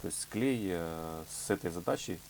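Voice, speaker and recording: A man's voice: low-pitched, expressive, normal speed, a little mellow. A man presenting an informal hands-on craft tutorial, explaining step by step with hesitant phrasing and filler words. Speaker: leathercraft workshop tutorial presenter. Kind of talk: informal hands-on product demonstration. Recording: clear background noise with hum.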